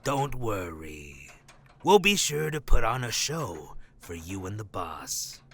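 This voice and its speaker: Cocky, theatrical operative with swooping voice. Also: male voice, on the low side, sing-song, pushed and bright, a little hiss or hum, exaggerated voice. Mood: cocky, taunting. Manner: theatrical, joking, drawn-out delivery. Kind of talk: audio drama acting.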